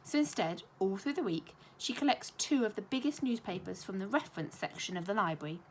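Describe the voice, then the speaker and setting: A young adult woman, a primary school teacher reading a children's novel aloud with expressive storytelling. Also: medium pitch, a little fast, slight background noise, low-quality recording. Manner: expressive storytelling with lively intonation.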